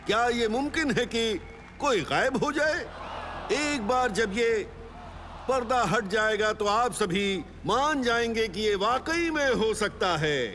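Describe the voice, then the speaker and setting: An actor voicing an excitable boy magician in a cartoon, with theatrical showman patter. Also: extremely high pitch, takes it slowly, slight background noise, heavily acted. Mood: showman flourish. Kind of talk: animated cartoon dubbing. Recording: loud music under the voice. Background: music.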